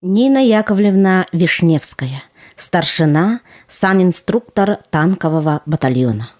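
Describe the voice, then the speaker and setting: A woman narrator reading scripted theatrical narration in a solemn, measured way. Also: lowish voice, lively intonation, a little mellow. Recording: music under the voice.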